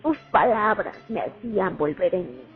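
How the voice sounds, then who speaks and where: A woman's voice: mid-range voice, expressive, normal speed, natural voice. A woman playing a haunted young Soviet sniper remembering the war in a radio drama monologue. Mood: grieving, tense. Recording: tinny phone-like audio, music underneath.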